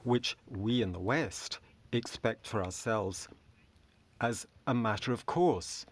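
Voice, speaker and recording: A man working as a simultaneous interpreter, rendering a debate speech in a steady, measured way in short phrases, into a booth microphone. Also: mid-range voice.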